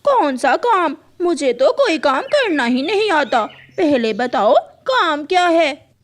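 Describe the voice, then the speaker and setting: Lady doing a bratty girl bird for a cartoon, really high and swoopy, whiny and quick to argue. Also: sing-song, heavily acted. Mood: downcast, unsure. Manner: quarrelsome, whiny. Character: hot-tempered young female bird, rude and sulky.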